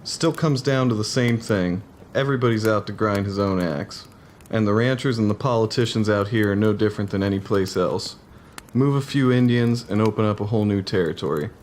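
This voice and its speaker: A man's voice: on the low side, fairly quick, a little mellow, a little hiss or hum, lightly acted. A man playing a skeptical cavalry private in a historical drama, speaking in plain, folksy frontier language.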